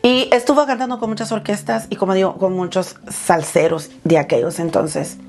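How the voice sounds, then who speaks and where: A woman's voice: mid-range voice, normal speed, vocal fry, a little mellow. A Salvadoran woman in her thirties, hosting her channel and telling her life story in a heartfelt, personal way, calling her viewers "chulis". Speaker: channel host.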